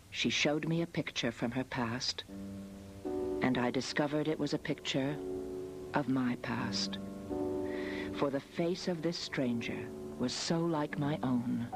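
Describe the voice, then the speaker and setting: A woman delivers scripted first-person documentary narration. She speaks at a very unhurried pace, measured and reflective throughout. Music is clearly audible behind her voice. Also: a bass voice. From United States, after early childhood in Australia. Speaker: photographer narrating her family history.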